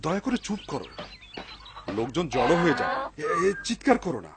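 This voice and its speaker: A man playing an excitable, pious, poor woodcutter in a dubbed cartoon, exclaiming and talking to himself in wonder. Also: fairly high pitch, fairly quick. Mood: hushed, excited. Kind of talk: Bengali-dubbed cartoon acting. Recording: noisy soundtrack with clicks.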